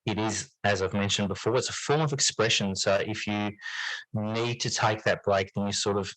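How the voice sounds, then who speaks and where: A man's voice: on the low side, steady tone, normal speed, fairly bright tone, no room sound, poor audio. A man speaking as an interview guest in casual conversation, hesitant and rambling, often repeating words as he finds his point. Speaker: podcast guest, English teacher and writer.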